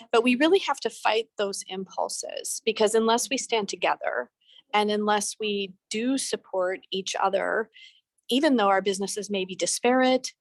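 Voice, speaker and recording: A woman's voice: medium pitch, no room sound. A middle-aged woman talking earnestly on a video call from a busy event venue, with some restarts. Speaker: panelist on a talk show. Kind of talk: casual video-call talk.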